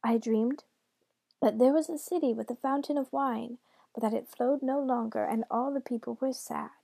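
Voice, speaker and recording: A woman's voice: a little high, fairly even intonation, moderate pace, gravelly, dark tone, no echo. A woman voicing a nervous boy who poses as a sweet old grandmother in a told story, cooing and placating. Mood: apologetic.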